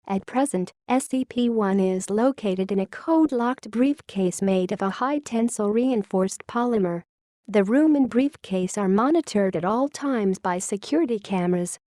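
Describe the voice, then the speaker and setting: A woman reading scripted narration of a fictional classified report in a formal, clinical, measured manner. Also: mid-range voice, mellow, dry recording, clean studio sound.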